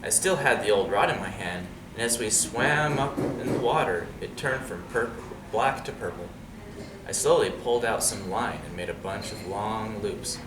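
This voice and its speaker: A man reading a storybook aloud to young listeners in an expressive, dramatic way. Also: fairly low pitch, moderate pace. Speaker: storybook reader. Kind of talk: animated read-aloud story with asides to children. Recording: roomy, distant mic, noisy background.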